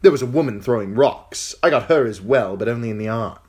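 A spoiled young king sneers and boasts in a raised, needling voice, put on by a male reader. The tone is lively and a little husky, swinging up and down as he gloats. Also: medium pitch, brisk, pitched above the performer's own voice. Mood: gloating. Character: cruel petulant boy king.

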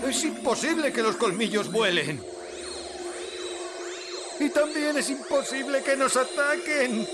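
A man's voice: very high-pitched, expressive, measured pace, loud music behind the voice. An actor playing a nervous, flustered grown man in a cartoon dub.

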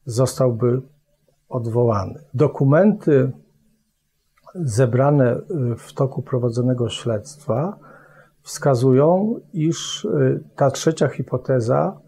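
Slow, measured man prosecutor. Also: medium pitch, mellow, husky. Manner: slow, measured, official phrasing with hesitations. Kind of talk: formal explanation to camera. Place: office with bookshelves. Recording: music bed underneath.

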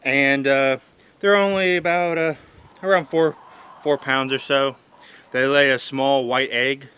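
A man in his thirties talking casually outdoors in a farmyard, halting with many fillers and restarts. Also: mid-range voice, fairly even intonation, sharp tone, smooth. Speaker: backyard chicken keeper. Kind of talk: casual unscripted vlog. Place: outdoors in a sunny pine-wooded farmyard. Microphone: camera mic at close range.

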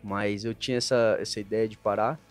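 A Brazilian man in his thirties talking over his career in a relaxed way, with lots of fillers, as a podcast guest. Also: fairly low pitch, a little fast, faint music behind. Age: 30s. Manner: relaxed, thoughtful storytelling with many fillers. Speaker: interview guest, retired footballer. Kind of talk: casual podcast interview. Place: podcast studio with shirts on the wall. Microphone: desk mic on a stand.

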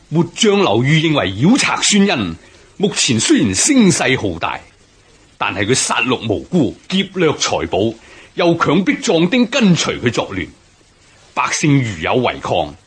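Earnest officer, husky, very animated. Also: male voice, mid-range voice, measured pace, full character voice. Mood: earnest, urging. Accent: Hong Kong Cantonese. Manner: earnest, formal, emphatic. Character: earnest, principled male junior military officer. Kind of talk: Chinese historical radio drama acting.

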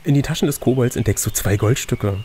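A man's voice: medium pitch, fast, mellow, a little hiss or hum. A man reading out an adventure gamebook as game master, slipping between narration and playful, teasing casual banter.

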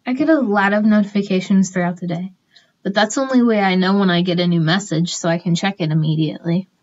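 A woman's voice: mid-range voice, quick pace, darkish tone, lightly acted. A woman playing a busy, polite young interviewer in a radio play. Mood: polite, distracted. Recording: slightly muffled.

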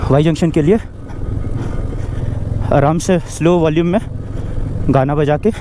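Young man, travel vlogger, chatty and excited, action camera on a motorbike, clear road and wind noise. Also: fairly high pitch, a little slow. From India. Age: young adult. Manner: chatty, excited, mixes in English words. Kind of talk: casual travel vlog narration. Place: outdoors on a mountain road, riding a motorbike. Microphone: action camera on the bike.

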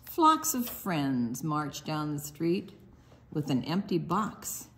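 An older woman reading a children's picture book aloud in an expressive, deliberate sing-song way, with small asides to her young listeners. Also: low-pitched, a little hiss or hum.

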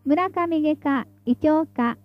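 A woman's voice: high-pitched, slow, dry recording, highs cut off. A woman reading scripted tram announcements in a formal, polite, carefully enunciated way, as the stop announcer.

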